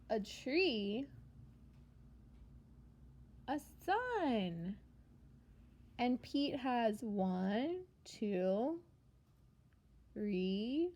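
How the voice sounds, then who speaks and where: A woman preschool teacher reading a picture book aloud to young children in simple, sing-song phrases, pausing after questions. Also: fairly high pitch, darkish tone, slight background noise. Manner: simple short phrases with deliberate pauses for answers.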